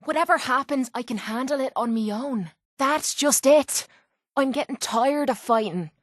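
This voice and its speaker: A woman's voice: high-pitched, moderate pace, no room sound, studio quality. An actress playing a tough, cynical, streetwise young woman with an Irish accent, as a companion in a video game. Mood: weary. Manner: blunt, sardonic, streetwise. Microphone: close, dry studio microphone.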